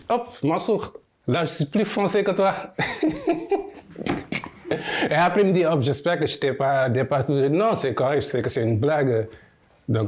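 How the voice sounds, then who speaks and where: Man, mid-pitch, anecdotal interview storyteller, animated quotes. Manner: lively storyteller who acts out quoted lines. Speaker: immigrant interviewee.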